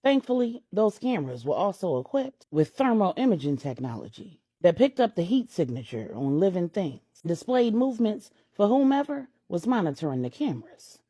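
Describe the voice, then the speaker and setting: A woman's voice: medium pitch, mellow, slightly rough, no echo. A woman narrating a scripted story as a storyteller, with a dramatic, emphatic delivery.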